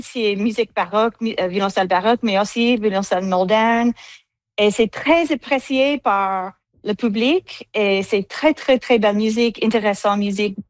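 A woman's voice: on the high side, no room sound. A woman with an English accent, a cellist speaking French as a guest, hesitating with many fillers and slipping into English words. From United States. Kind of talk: interview answers.

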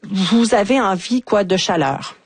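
A woman's voice: medium pitch, animated, gravelly, slightly rough, no echo. A woman hosting a talk show and prompting her guest with questions. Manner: quick, prompting questions with fillers. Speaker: host. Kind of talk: radio talk show interview.

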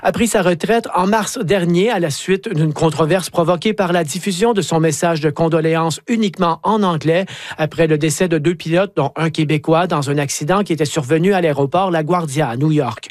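High-pitched male radio reporter, dry sound. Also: fairly quick, no echo. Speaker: news reporter. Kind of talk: scripted news report.

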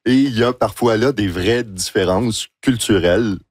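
A man's voice: on the low side, normal speed, slightly rough, no echo, studio quality. A man giving considered opinions in conversation, starting hesitantly and restating his points for stress. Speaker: interview guest, music festival professional.

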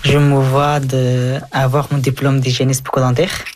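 Young man, French, medium pitch, casual interviewee. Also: little pitch movement, normal speed, a little mellow, slight background noise. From Afghanistan. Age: young adult.

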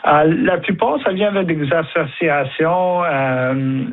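A man with a Franco-Ontarian French accent talks over a tinny, narrow phone line. His voice sits a little high for a man, and he pauses often and restarts his sentences with plenty of fillers as a radio guest. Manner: hesitant, many fillers and restarts. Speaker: community centre representative.

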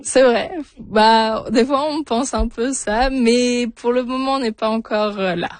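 A woman's voice: fairly high pitch, a little slow, dry recording. A young woman from France answering interview questions casually, hesitating often with fillers and restarts. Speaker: interview guest, new PhD in physics.

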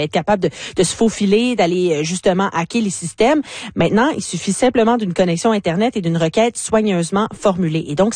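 A woman's voice: medium pitch, darkish tone, dry recording. A woman with a Canadian French accent giving a press review on the radio as live, conversational news commentary, explaining with emphatic repetition.

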